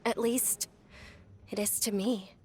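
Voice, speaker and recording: A woman's voice: mid-range voice, fairly even intonation, slow pace, darkish tone, close to an everyday voice. An actress playing a polite but headstrong young noble lady in a game, speaking earnestly. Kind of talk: game voice acting.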